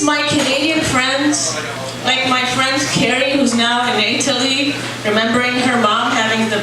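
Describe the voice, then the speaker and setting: A middle-aged woman originally from Iraq telling a personal story with a wry, playful delivery, acting out the quoted voices. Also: a little high. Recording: echoey room, recorded from a distance, noisy.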